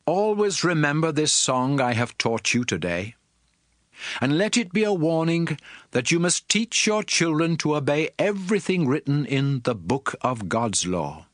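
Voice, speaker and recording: A man's voice: a little high, sing-song, a little slow, a bit bright, no echo, studio quality. An actor playing an earnest male prophet and lawgiver, exhorting solemnly in a dramatized scripture reading. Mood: earnest warning.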